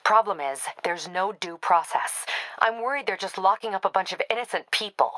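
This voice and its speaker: An actress playing a female police captain in a video game, brisk and weary, with a clipped, businesslike, dryly wry way of talking. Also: mid-range voice, lively intonation, a bit bright, no echo, lightly acted, low-quality recording. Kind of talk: video game voice acting, radio dispatch briefings.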